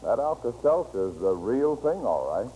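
An actor playing a drowsy, rueful husband after a night of overindulging, in an old-time radio commercial. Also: male voice, medium pitch, very animated, moderate pace, dark tone, natural voice. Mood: rueful, satisfied. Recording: faintly noisy vintage radio recording.

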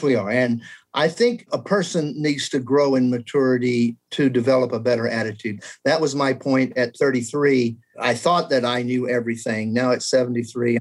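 A man in his seventies, talking through a theology question in an earnest, reflective way, sometimes poking fun at his younger self. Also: medium pitch. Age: 70s. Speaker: guest scholar. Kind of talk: conversational theology discussion.

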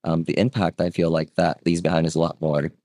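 Very deep-voiced chatty man. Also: little pitch movement, a little fast, warm tone, dry recording, studio quality. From Vietnam. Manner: chatty storyteller, acts out quotes, many ums and rights. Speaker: guest, real estate agent. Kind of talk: casual podcast conversation.

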